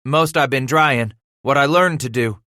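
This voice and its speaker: A man's voice: mid-range voice, measured pace, sharp tone, no room sound, studio quality, voice pushed up. A man voicing a frightened, stubborn teenage farm boy in an audiobook, speaking hesitantly in rustic words. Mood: defensive, nervous.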